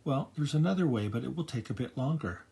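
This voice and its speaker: A grandfatherly man voicing a gentle, kindly old woman librarian in a storybook. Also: mid-range voice, animated, quick pace, vocal fry, breathy edge.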